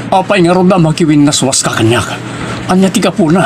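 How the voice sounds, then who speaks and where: A man's voice: fairly high pitch, lively intonation, brisk, noisy background, lightly acted. A man acting a hurt, insistent young lover in an audio drama, intense and questioning, with a Filipino Ilocano accent. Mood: hurt, demanding. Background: prominent music.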